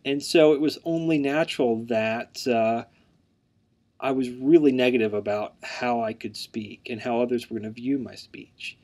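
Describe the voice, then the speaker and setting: A man's voice: medium pitch, moderate pace, darkish tone. A man in his thirties introducing himself as the instructor of an online course. He speaks earnestly, with a mild stutter, word repetitions and frequent "um" fillers.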